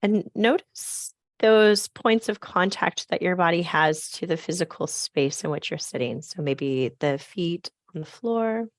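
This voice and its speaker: Woman w a scratchy raspy voice, lowish, soft slow meditation guide, dry close sound on a call. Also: lively intonation, dry recording. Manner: soft, slow, gently inviting. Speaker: program facilitator. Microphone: video call.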